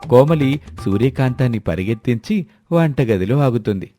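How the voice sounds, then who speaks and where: A man's voice: medium pitch, animated, normal speed, dark tone, soft background music. A man narrating a scripted moral tale in a storybook style.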